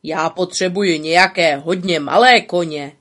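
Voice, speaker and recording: A woman's voice: on the low side, a bit bright, pitched below the performer's own voice. A woman voicing a boastful, bossy little male bug who teases others in a storybook. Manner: brash, teasing, showy.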